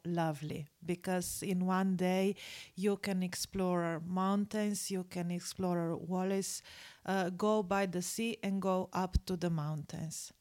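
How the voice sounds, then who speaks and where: Interviewee: female teacher, Slovenian accent, slow, slightly low voice, simple non-native English. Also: darkish tone. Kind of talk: unscripted interview answers.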